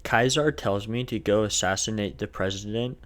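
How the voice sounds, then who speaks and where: A man with a fairly low voice and a lisp narrates his gameplay. He keeps it casual and scripted, with crude, profane jokes mixed into the recap. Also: moderate pace. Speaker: gaming video narrator.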